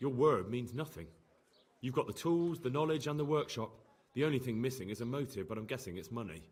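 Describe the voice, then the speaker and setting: A man's voice: mid-range voice, animated, brisk, lightly acted. An actor playing an earnest, dogged young man investigating in a medieval game, plain-spoken and direct. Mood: accusing, firm. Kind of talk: scripted game dialogue acting.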